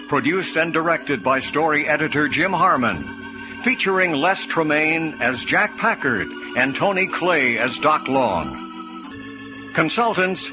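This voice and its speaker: A man with an American accent reading scripted credits as a classic radio announcer. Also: fairly high pitch. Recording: muffled radio recording over organ music. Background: organ music.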